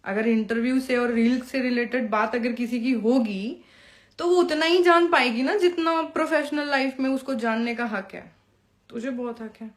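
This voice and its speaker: A young woman speaks informally to a live-stream audience. She is pointed and taunting, calling people out directly. English phrases slip into her talk. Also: a little high. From Bihar, India. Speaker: Bhojpuri performer addressing followers on a live stream. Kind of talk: informal live-stream monologue, personal call-out.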